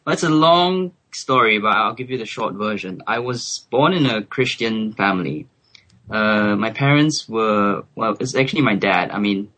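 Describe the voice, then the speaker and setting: A man in his twenties from Singapore, a guest on a remote call, speaking thoughtfully with soft laughs and hesitant fillers. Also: on the low side, rough recording. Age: 20s. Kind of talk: relaxed interview chat.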